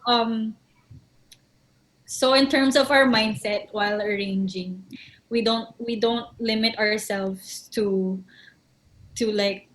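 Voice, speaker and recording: A woman's voice: on the high side, fairly even intonation, slow, long pauses, poor audio. Woman, laughing often. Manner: mixes English with Tagalog, thinks aloud, laughs often. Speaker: band member and songwriter. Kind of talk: casual interview answers.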